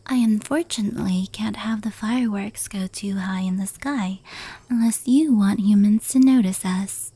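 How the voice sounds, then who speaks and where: A woman voicing a proud, powerful fox yokai in a hushed, intimate ASMR roleplay, soft and breathy. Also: medium pitch, normal speed, no room sound, natural voice. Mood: proud, explanatory. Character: proud, powerful female fox yokai, fond confidante of a human.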